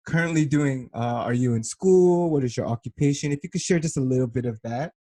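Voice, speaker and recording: A man's voice: mid-range voice, fast talker, warm tone. A man hosting a podcast in a casual, chatty interview style, with a teasing, joking manner. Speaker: podcast host.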